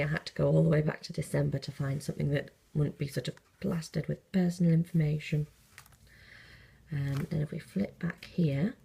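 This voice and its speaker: A woman in her thirties with a croaky early-morning voice, chatting through her planner setup while filming on a handheld phone. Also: sits low, mellow. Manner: chatty, friendly, flips pages while explaining. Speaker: planner vlogger. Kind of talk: casual show-and-tell walkthrough.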